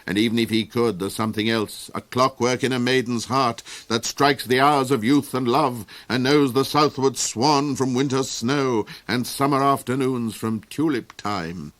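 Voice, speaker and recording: A man voicing a dry, unimpressed spy and henchman in a storybook reading, deadpan and sardonic. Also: mid-range voice, normal speed, hardly any pauses, lightly acted. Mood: musing.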